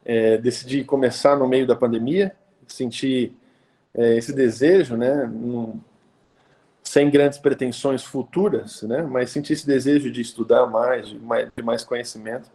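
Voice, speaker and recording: Thirtyish pastor. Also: male voice, mid-range voice, fairly even intonation, measured pace, dark tone. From Brazil, interior of São Paulo state. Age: 30s. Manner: conversational, many hesitation fillers and tag questions. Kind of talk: casual live-stream talk.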